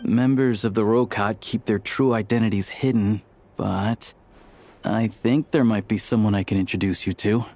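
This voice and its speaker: A man playing a calm, shrewd, thoughtful ally in a video game, speaking in a measured, pondering way. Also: on the low side, a little hiss or hum, close to an everyday voice.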